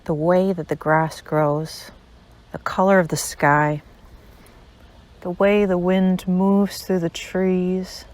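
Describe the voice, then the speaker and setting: A woman with a slightly low voice leads a guided meditation. She speaks very slowly and deliberately, setting short phrases apart with pauses. The delivery is a measured spiritual monologue. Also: level tone, darkish tone.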